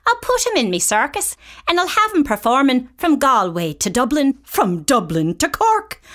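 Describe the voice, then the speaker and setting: A woman's voice: high-pitched, fairly bright tone, pitched above the performer's own voice, full character voice. A woman voicing a persuasive male circus man making a grand offer. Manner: persuasive and showy.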